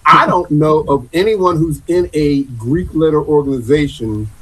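A man speaking as a guest on a radio panel, measured and reassuring, stuttering as he starts a point. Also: a little high, fairly bright tone, husky, low-quality recording. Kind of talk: call-in radio panel talk.

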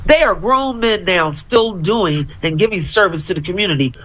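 Lively bright-voiced female co-host. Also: fairly low pitch, normal speed, low-quality recording. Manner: animated, teasing, laughs often. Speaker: radio co-host. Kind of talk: call-in radio panel talk.

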